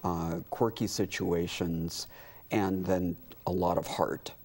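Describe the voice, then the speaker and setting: A man talking casually as an interview guest, in a halting, conversational way. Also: low voice, steady tone, darkish tone. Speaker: interview guest, community theater director.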